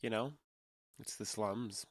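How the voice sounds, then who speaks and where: A man's voice: on the low side, steady tone, takes it slowly, dry recording, studio quality. An American man playing a guarded, sincere alien who passes for a young adult, explaining himself hesitantly in a naturalistic audio drama. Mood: earnest explaining.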